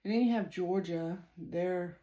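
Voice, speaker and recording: Slow, mellow Southern woman. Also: lowish voice, dull audio. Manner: halting, many ums, reads from notes. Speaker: psychic channeler. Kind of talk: casual spiritual reading monologue.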